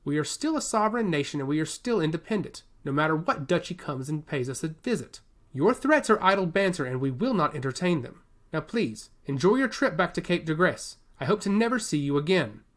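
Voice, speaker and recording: A narrator reading a proud fantasy prince, fiercely independent. His speech is firm, formal and defiant, with lively rises and falls. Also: mid-range voice, a little fast, natural voice. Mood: proud confidence.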